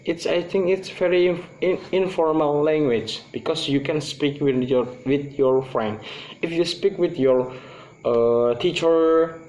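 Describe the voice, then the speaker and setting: A young man from Indonesia with an Indonesian accent gives a class presentation in English, his second language. He speaks haltingly and often searches for his words. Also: on the high side, darkish tone, poor audio. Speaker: student presenter.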